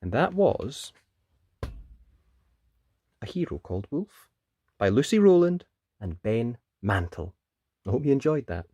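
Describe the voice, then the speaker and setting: A man narrating a children's storybook in an expressive read-aloud style. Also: mid-range voice, a little slow, long pauses, no room sound. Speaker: storybook narrator and channel presenter.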